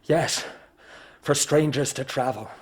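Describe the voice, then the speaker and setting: An actor playing a tough, defiant outlaw with a bold, terse delivery in a radio drama. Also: male voice, medium pitch, slow, slightly rough. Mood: steady, terse.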